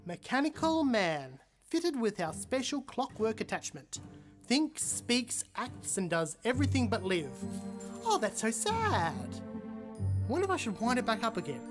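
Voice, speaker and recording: Lively, chatty scarecrow. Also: female voice, a little high, unhurried, soft background music. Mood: curious, musing. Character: chatty, curious, good-hearted living scarecrow. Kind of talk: audio drama acting.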